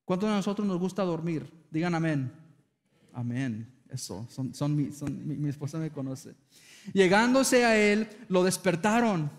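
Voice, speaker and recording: High-voiced Argentine preacher in a hall. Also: male voice, expressive, unhurried. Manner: earnest preaching, calls the congregation for amens. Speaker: pastor. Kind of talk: sermon. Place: church sanctuary. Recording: reverberant church hall.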